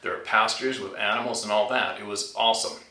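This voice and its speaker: Low-voiced man, bright and forceful, roomy sound. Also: steady tone, fast. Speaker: channel host reading out a viewer's submitted story. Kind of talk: reading a listener's scary story aloud, informal. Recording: roomy echo, distant from mic.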